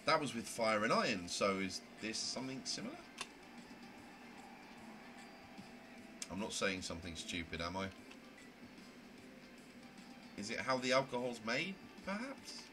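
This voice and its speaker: Man acting a prim, animated, wordy young newcomer, low-voiced. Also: fairly quick. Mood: curious, rambling. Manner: wordy, rambling, faintly prim. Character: naive, chatty, prim young newcomer narrating the scene. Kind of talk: amateur visual novel voice acting, first-person narration. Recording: music under the voice. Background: music.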